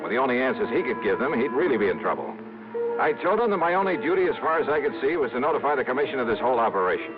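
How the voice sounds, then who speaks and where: An actor playing a wry, skeptical American insurance investigator in clipped 1950s noir radio style. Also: male voice, mid-range voice, big pitch swings, racing, close to an everyday voice. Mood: wry narrating. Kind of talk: 1950s noir radio acting and first-person narration. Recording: dull old radio recording, music behind.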